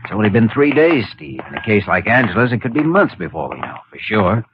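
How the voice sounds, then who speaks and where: An actor playing a calm, serious surgeon in a 1950s radio drama, speaking in a terse, measured, clinical manner. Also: male voice, fairly low pitch. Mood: grave. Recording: muffled 1950 radio recording with faint hiss.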